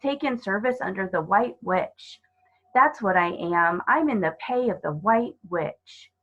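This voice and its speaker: Woman voicing a guilt-ridden, tearful male faun, husky. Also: mid-range voice, expressive, unhurried, fairly bright tone, muffled recording. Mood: ashamed, gloomy. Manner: melodramatic, pleading. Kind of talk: children's storybook character voice.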